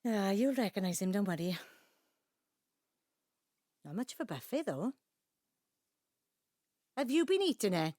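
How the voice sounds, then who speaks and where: An actress playing a middle-aged Welsh daughter in an audio drama, a chiding, anxious peacekeeper with a South Wales accent. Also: female voice, mid-range voice, very animated, measured pace, long pauses, warm tone, no echo, studio quality. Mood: chiding, playful. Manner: chiding, pleading. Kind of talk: naturalistic audio drama acting.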